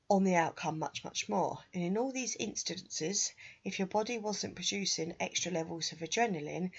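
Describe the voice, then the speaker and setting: A woman's voice: on the low side, slightly rough. A woman hosting her own podcast while she has a cold, sounding nasal and a bit stuffy, talking in a chatty way and laughing at herself. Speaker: podcast host. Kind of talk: solo podcast talk. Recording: dull lo-fi sound.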